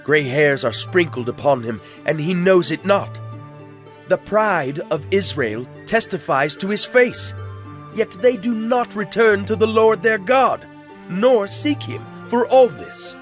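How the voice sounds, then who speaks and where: A man playing a wronged, wrathful God pronouncing judgment, in a solemn, declamatory dramatic scripture reading with deliberate phrasing. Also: sits high, animated. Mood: stern lament, then anguished anger. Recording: music bed under the voice. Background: background music.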